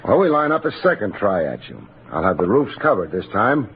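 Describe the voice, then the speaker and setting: Wry old-time radio detective, muffled sound. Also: male voice, lowish voice, wildly swooping pitch, fairly quick. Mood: dry, narrating. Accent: American. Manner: dry, sardonic, theatrical phrasing. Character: wry, wisecracking male hardboiled detective. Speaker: actor, lead and narrator of a detective radio drama. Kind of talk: old-time radio detective acting and first-person narration. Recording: dull old radio broadcast, music, vehicle noise.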